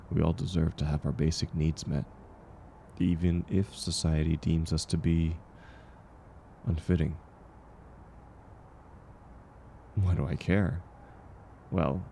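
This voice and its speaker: Man acting out gentle caring man, mellow dark tone, gravelly fry, reassuring, unhurried, intimate audio roleplay, faint background noise. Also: rumbling low, heavily acted. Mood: gentle, sincere. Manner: soft-spoken, reassuring, unhurried. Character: kind, gentle man, once homeless, sharing dinner with a stranger.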